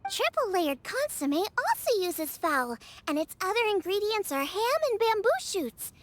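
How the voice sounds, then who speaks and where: A woman's voice: very high-pitched, sing-song, measured pace, full character voice. A woman voicing a cheerful, encouraging young girl chef in a video game, with music behind her. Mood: proud, explaining. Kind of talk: English video game voice acting.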